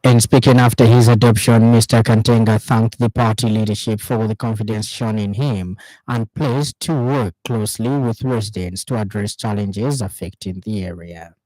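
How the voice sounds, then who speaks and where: A Zambian man presents a scripted radio news bulletin. His voice sits on the low side and his delivery is formal and measured. The sound is dry, with very little room in it. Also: fairly even intonation, mellow, dry recording, rough recording.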